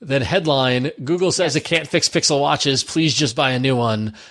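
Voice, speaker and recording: A man's voice: mid-range voice, normal speed, a bit bright. A man co-hosting a tech podcast, reading listener emails aloud and chatting about them casually. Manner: reads emails aloud then adds his own comments.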